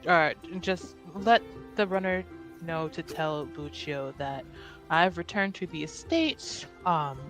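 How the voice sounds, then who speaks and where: A woman describes her character's actions in a tabletop game, pausing often and thinking aloud. Her voice sits a little low and her pace is unhurried. Soft music plays faintly underneath. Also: expressive. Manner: hesitant, thinking aloud with many fillers. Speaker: player. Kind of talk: in-character tabletop roleplay narration.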